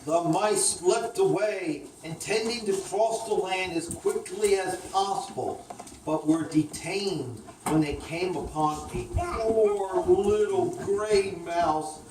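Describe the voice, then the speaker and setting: A woman's voice: fairly low pitch, takes it slowly. A woman storyteller reading aloud a children's story. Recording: echoey room, distant mic, faint noise.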